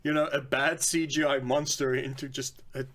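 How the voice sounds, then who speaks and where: A man's voice: mid-range voice, level tone, moderate pace, a bit bright. A man co-hosting a podcast in a loose, conversational style. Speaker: podcast co-host. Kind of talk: casual chat.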